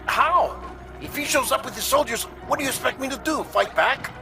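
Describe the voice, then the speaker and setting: A man's voice: very high-pitched, lively intonation, a little fast, music in the background. An actor playing a nervous, lonely, eccentric middle-aged man in a video game cutscene, fretful and hesitant, with a theatrical, confiding way of talking. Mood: panicked, fretful.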